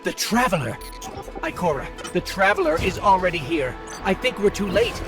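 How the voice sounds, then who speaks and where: A man voicing a small, loyal robot companion in a video game, earnest, worried and expressive. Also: high voice, moderate pace. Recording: voice under loud game music.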